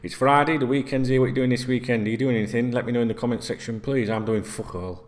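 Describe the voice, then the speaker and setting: A man's voice: medium pitch, fairly even intonation, fast talker. A middle-aged man, ranting casually and swearing a lot as a football vlogger talking straight to camera in a small room. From Yorkshire, England. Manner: sweary, rambling, conversational. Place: small indoor room, plain wall.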